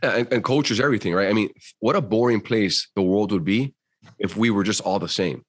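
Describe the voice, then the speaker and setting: A man's voice: on the low side, fast talker. A man with a Cuban American accent, a podcast guest who talks in an emphatic, motivational way. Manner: emphatic, motivational, says dude. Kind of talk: casual conversation.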